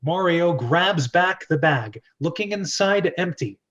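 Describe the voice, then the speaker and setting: A man's voice: a little high, animated, slow, a bit bright, highs cut off. A man hosting a script reading and narrating the stage directions.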